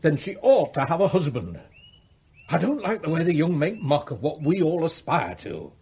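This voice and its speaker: A man's voice: on the high side, big pitch swings, moderate pace, darkish tone. An actor playing a domineering, blunt self-made tycoon and father in a radio drama, brusque and grumbling.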